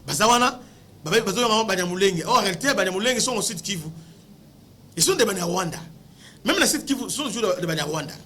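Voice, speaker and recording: A man's voice: high voice, expressive, fast, sharp tone, a little hiss or hum. A man with a Congolese accent giving impassioned political commentary, emphatic and full of rhetorical questions, often repeating words as he searches for the next. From Democratic Republic of the Congo.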